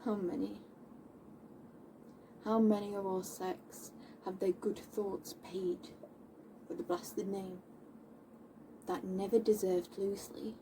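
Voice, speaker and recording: A young woman playing a defiant, scornful tomboy of seventeenth-century London in a classical stage monologue, declaiming indignantly with dramatic pauses. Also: medium pitch, little pitch movement, mellow, close to an everyday voice. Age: young adult. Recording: distant mic with faint background noise.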